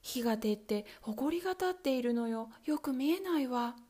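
A woman's voice: sits high, expressive, normal speed, mellow. A woman voicing a young sister who calls down answers in a lightly raised voice. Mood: calling. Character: calm older sister keeping watch.

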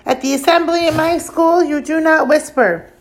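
Woman putting on a shouting, gruff drill-sergeant man, high-pitched. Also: normal speed, slight background noise, lightly acted, poor audio. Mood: furious. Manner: shouting, commanding, clipped scolding. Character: gruff, strict army drill-sergeant man berating schoolchildren. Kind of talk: acted storybook character voice.

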